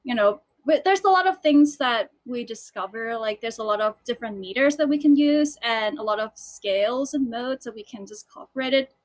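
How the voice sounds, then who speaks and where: A woman's voice: fairly high pitch, fairly quick, few pauses. A woman who grew up in Thailand, a musical theatre composer, chatting on a video call with many fillers and restarts. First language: Thai. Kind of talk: casual video call chat.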